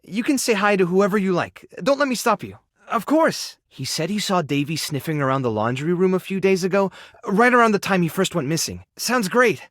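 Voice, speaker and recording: Male game character: caring, anxious animal rescuer, friendly and coaxing, higher-set voice with lively swings. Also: a little fast, no room sound. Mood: friendly, weary. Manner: expressive, soft-hearted, encouraging, coaxing small creatures like pets. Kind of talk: video game character dialogue lines. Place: studio.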